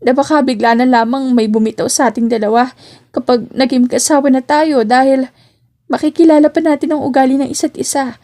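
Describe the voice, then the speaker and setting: A woman's voice: high-pitched, fairly even intonation, fairly quick, dark tone, lightly acted. A woman voicing an emotional, tender young woman in love in a romance audio drama. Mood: anxious, tender.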